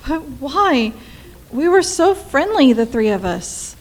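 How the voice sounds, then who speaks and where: Casting: woman as frightened, devoted wife, high voice, pleading melodrama for a vintage radio play. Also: very animated, takes it slowly, full character voice. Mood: bewildered. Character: anxious, devoted wife in fear for her life. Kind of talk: old-time radio play acting.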